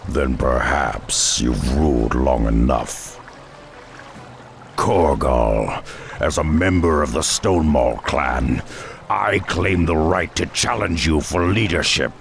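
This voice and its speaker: A man voicing a gruff, steady half-orc warrior hero in a video game, terse and resolute, with a gravelly voice. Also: slow pace, soft background music, full character voice. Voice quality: very deep, gravelly. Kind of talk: video game cutscene acting.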